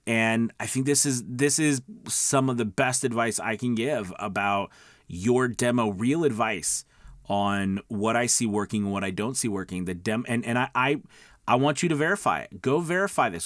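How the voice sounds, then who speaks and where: A man's voice: medium pitch, normal speed, a bit bright, dry recording, clean studio sound. A man giving an informal, emphatic coaching talk to viewers as a video host, often checking in conversationally. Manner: emphatic, conversational, repeats check-in words like okay and all right.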